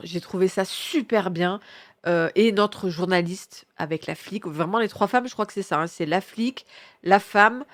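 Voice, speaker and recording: A woman with a head cold gives casual, enthusiastic book recommendations in a slangy, rambling way, often correcting herself. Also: fairly low pitch. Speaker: book reviewer presenting her reading picks.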